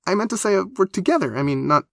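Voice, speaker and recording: A woman's voice: on the low side, animated, quick pace, vocal fry, dry recording, clean studio sound, pitched above the performer's own voice. A man reading a story, voicing a bubbly, nervous young woman who gushes and trips over her words. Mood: excited, flustered. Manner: gushing, flustered, loud.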